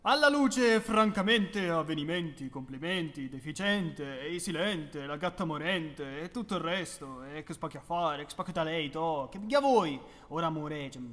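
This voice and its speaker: A man plays an erratic, pompous wizard in a homemade spoof, in a reverberant space with music. His fairly high, swooping voice rambles theatrically and crudely with a Sicilian accent. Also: expressive, normal speed, hardly any pauses, fairly bright tone. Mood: rambling. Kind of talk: amateur film parody acting.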